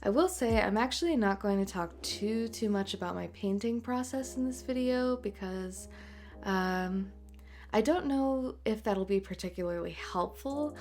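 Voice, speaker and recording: A woman in her late twenties, an artist and tattooer, chatting in a voice-over over a speedpaint, raspy and congested from a cold, talking softly with many ums. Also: medium pitch, lively intonation, a little slow. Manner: soft, rambling, many ums and uhs. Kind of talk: voice-over chat during a speedpaint. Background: faint music.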